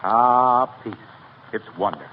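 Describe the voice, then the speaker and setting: A man's voice: on the low side, a little slow. A man working as a radio show announcer, reading scripted introductions and sponsor copy in 1940s broadcast style. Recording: narrow-band 1940 radio recording, faint noise.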